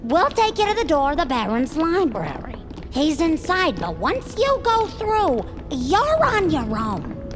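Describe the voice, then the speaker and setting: Cagey comic doorkeeper servant, high, creaky, wildly swooping, audio drama. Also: female voice, huge pitch swings, a little slow, music in the background, full character voice. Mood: cautious. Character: wary, comic servant guarding a baron's door.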